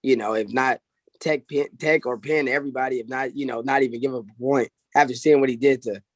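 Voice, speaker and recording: A man's voice: medium pitch, fairly even intonation, fairly quick, dry recording. A young man from Illinois, a wrestling head coach, chatting easily as a guest on a video call interview. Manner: easygoing, repeats "I'll say" and "oh, yeah". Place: small indoor room. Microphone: video call webcam.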